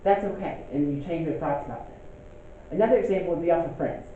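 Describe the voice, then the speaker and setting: A man's voice: fairly high pitch, fast talker, dark tone, slight background noise. Man lecturing in an echoey room. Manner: conversational, checks in with "okay?". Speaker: teacher. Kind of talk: classroom lecture. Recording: echoey room, phone-like lo-fi sound.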